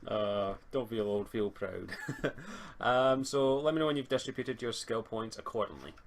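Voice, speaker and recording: A man's voice: lowish voice, lively intonation, normal speed. A man acting as game master and host, casually explaining tabletop game rules. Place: online group game session, streamed. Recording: noticeable background noise.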